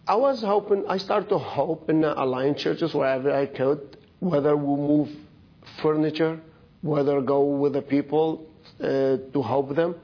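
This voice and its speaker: A man from Iraq tells his testimony in halting, English, pausing often to find his words. His voice is gravelly and a little husky. The recording is dull and lo-fi. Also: on the high side, fairly even intonation. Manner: halting non-native English with fillers and restarts. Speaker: church member giving testimony. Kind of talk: personal testimony at a service.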